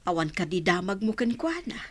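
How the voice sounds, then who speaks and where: A woman's voice: on the low side, big pitch swings, brisk, fairly bright tone, dry recording. A woman playing a concerned village mother and grandmother in a radio drama, speaking. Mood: worried.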